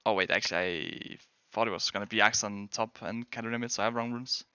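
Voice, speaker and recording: A young Danish man chatting casually with his stream viewers, hedging and correcting himself as he goes. His voice sits a little low but sounds bright and crisp. The dry mic is slightly dull. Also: fairly even intonation, quick pace, no echo. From Denmark. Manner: rambling, hedging, self-correcting. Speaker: game streamer and pro player. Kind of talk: casual chat answering viewers.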